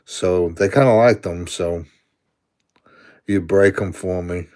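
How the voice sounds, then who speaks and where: Man in his sixties, Brooklyn accent, low voice, unhurried, casual live-stream chat, answers viewer comments and chuckles. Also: a little mellow. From Brooklyn, New York.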